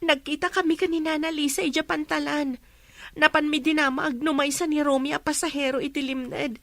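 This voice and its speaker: A woman's voice: high-pitched, steady tone, a little fast, gravelly, fairly bright tone, dry recording. A woman with an Ilocano accent playing an earnest, worried young woman explaining grave matters to her elders in a radio drama. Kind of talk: Filipino radio drama acting.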